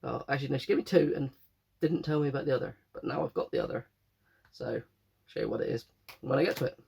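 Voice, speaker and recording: A man's voice: mid-range voice, fast talker, gravelly, darkish tone, slightly rough. A young man with a cold, chatting casually and rambling about his latest finds, often correcting himself. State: croaky with a cold. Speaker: game collector vlogger. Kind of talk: casual chat.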